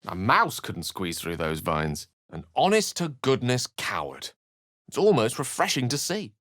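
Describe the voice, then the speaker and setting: A man's voice: mid-range voice, huge pitch swings, unhurried, a bit bright, no echo, studio quality. A man voicing an eloquent, bookish wizard in a fantasy video game, wry and courteous, with articulate, dramatic phrasing. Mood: wary, then wry, musing. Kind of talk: theatrical fantasy game dialogue and storybook-like narration.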